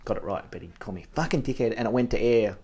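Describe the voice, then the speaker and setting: A man's voice: fairly low pitch, rapid-fire, a little mellow, breathy edge. A man co-hosting a casual talk show, swearing freely and laughing often as he tells a story. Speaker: radio show co-host.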